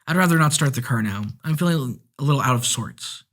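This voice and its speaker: A man's voice: medium pitch, animated, brisk, a bit bright. A man reading a story aloud, voicing a worried, respectful male chauffeur. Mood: weary. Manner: respectful, calls the listener sir.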